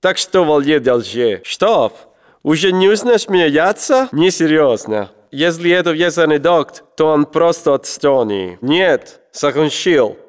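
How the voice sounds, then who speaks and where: An actor playing a sardonic Russian soldier in video game battle chatter, talking in a dry, needling manner. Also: male voice, on the high side, animated, measured pace, clear, resonant tone, long reverb tail.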